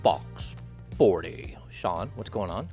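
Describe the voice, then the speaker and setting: A man's voice: sits low, animated, slow pace, warm tone, faint music behind. A man hosting a casual sports podcast, rambling with lots of fillers in a friendly way. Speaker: podcast host.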